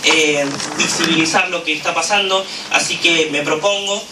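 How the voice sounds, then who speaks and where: A man's voice: on the high side, fairly even intonation, normal speed. Fervent Argentine man, echoey and distant. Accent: Argentine Spanish. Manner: fervent, with frequent "eh" fillers. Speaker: activist speaker at a political gathering. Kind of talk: impassioned political speech. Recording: echoey room, from a distance, noisy.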